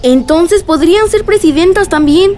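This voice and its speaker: A woman's voice: very high-pitched, lively intonation, normal speed, warm tone, soft background music. A woman playing a curious, naive young niece asking questions in a radio drama. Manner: inquisitive, naive.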